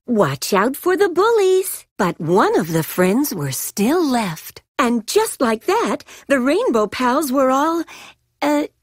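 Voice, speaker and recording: High, theatrical, playful storybook narrator. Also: female voice, no room sound, clean studio sound, exaggerated voice. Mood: wry, dramatic. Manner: theatrical, sing-song, exaggerated storytelling. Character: playful female storybook narrator telling toddlers' adventure. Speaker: game event narrator. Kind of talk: scripted children's storybook narration.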